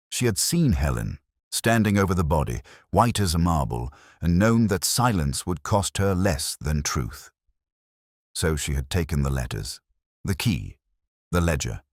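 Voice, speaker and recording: An extremely deep voice in a dry, close recording, belonging to a man acting a world-weary detective. He narrates his case like a radio drama, solemn and measured. His intonation rises and falls expressively while the temper stays reflective. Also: slightly rough, no room sound, heavily acted. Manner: measured, solemn, literary phrasing. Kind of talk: scripted first-person detective audio drama narration.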